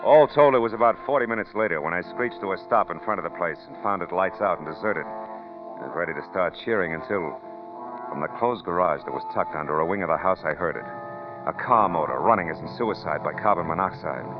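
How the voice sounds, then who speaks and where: Deep-voiced hard-boiled private eye, old radio. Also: male voice, lively intonation, brisk. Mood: tense narration. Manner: curt, wisecracking. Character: tough, sardonic hard-boiled male private detective. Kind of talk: 1940s radio drama acting. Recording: muffled vintage radio broadcast, music.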